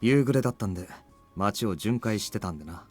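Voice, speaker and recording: A blunt young anime ally, cool and curt but protective. He talks very fast in a fairly low voice. The delivery is visual novel acting with music playing along. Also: expressive. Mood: cool, terse.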